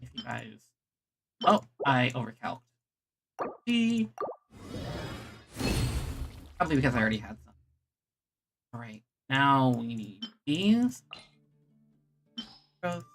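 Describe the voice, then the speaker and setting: A streamer talks through a game in a casual, think-aloud way, often muttering to themselves. The voice sits at a middling pitch, and game music plays clearly underneath it. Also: big pitch swings, takes it slowly, long pauses. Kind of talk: casual live gameplay commentary. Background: video game music.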